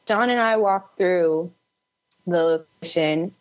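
Slow, very low-voiced woman, lo-fi. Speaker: filmmaker guest. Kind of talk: casual interview advice.

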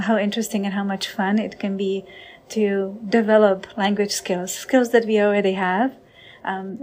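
A woman coordinator whose first language is not English, introducing a conversation program in a friendly, unhurried way with many fillers. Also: mid-range voice, darkish tone.

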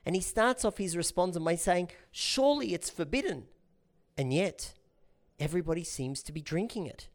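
A middle-aged man teaching an informal lesson in a lively, chatty way, explaining things step by step. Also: high-pitched, normal speed. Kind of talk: informal talk-show lesson.

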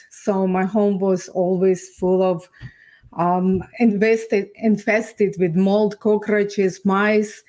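Woman, Ukrainian accent, podcast guest, flat intonation, middle pitch, earnest halting testimony. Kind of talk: personal testimony storytelling.

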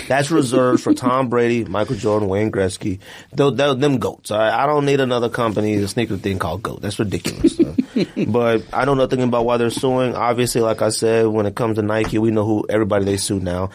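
A man's voice: on the low side, a little fast, a little hiss or hum. An American man hosting a casual sports and sneaker podcast, speaking bluntly in slang and repeating himself for emphasis. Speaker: podcast host.

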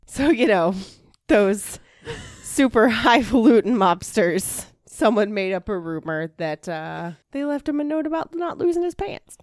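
A woman's voice: on the high side, big pitch swings, unhurried, a little mellow, dry recording, studio quality. A woman co-hosting a podcast, telling true crime stories and chatting informally and playfully. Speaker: podcast co-host. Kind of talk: true crime storytelling and casual chat.

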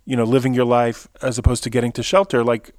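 A man's voice: mid-range voice, flat delivery, fast, a little mellow, dry recording, clean studio sound. A man hosting a podcast, asking probing, curious questions of residents. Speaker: podcast host and interviewer. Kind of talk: interview questions.

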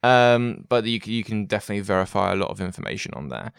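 A man's voice: fairly low pitch, expressive, fast talker, creaky, smooth, no echo, clean studio sound. A man hosting an interview, chatting in a rambling way with many fillers and repeated words. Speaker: host. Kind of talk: casual interview chat.

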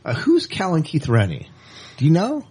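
A man's voice: on the high side, wildly swooping pitch, moderate pace, a little mellow, slightly rough. A man co-hosting a podcast, chatting casually and enthusiastically like a fan about entertainment news. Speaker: podcast co-host.

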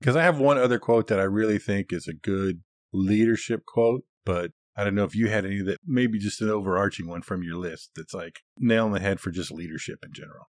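Male co-host, lower voice, dry studio-like sound, agreeable and plain-spoken in casual discussion. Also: a little fast, no room sound. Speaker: podcast co-host. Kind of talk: casual conversational podcast discussion.

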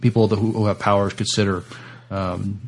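Man, podcast co-host, low gravelly voice, stammering and tentative delivery, casual discussion. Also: level tone, brisk. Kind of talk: casual discussion, reading out entertainment news.

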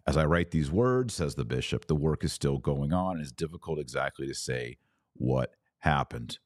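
A man's voice: extremely low pitch, expressive, moderate pace, no echo, studio quality. A man hosting a podcast, giving news commentary as a monologue with emphatic phrasing and informal asides.

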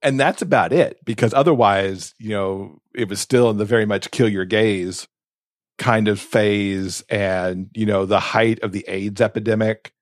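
American guy in his 50s, lowish voice, dry sound, chatting casually on a podcast. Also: unhurried, dry recording. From Alabama, United States. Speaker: podcast host. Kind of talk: casual reflective chat.

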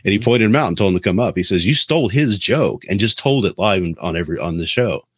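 A man with a deep, low voice speaks as a podcast co-host. He explains things casually and a bit haltingly, feeling his way through the thought. The sound is dry, with no room echo. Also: animated, breathy edge.

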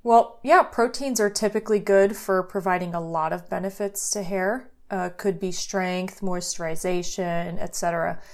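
Woman with a creaky voice, joking. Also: fairly low pitch, fairly even intonation, moderate pace, hardly any pauses. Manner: joking, dry asides. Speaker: podcast co-host, cosmetic scientist. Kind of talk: casual chat.

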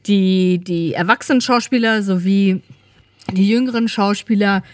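A woman's voice: medium pitch, normal speed, no echo, studio quality. A woman chatting casually as a podcast co-host, rambling and correcting herself as she thinks aloud. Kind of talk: casual chat.

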